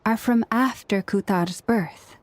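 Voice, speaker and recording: An actress voicing a gentle young priestess in a video game, measured, courteous and precise. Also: female voice, medium pitch, no room sound, close to an everyday voice. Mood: composed, courteous.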